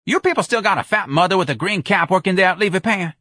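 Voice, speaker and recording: A man voicing a loud, slangy male caller in an audiobook. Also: high-pitched, lively intonation, fast talker, dry recording, clean studio sound, pitched above the performer's own voice, exaggerated voice. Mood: brash. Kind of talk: audiobook character voice.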